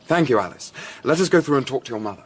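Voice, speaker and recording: An actor playing a shrewd, reserved Victorian gentleman investigator in a period radio drama, speaking in dry, formal, terse phrases. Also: medium pitch, lively intonation, racing, breathy edge. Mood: businesslike.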